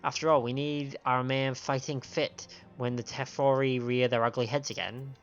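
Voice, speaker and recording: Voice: medium pitch, fairly even intonation, moderate pace. A game streamer with an androgynous voice, reading dialogue aloud and commenting live, often stumbling over the written lines. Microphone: microphone on a stand. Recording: dull lo-fi stream with game music. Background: game music.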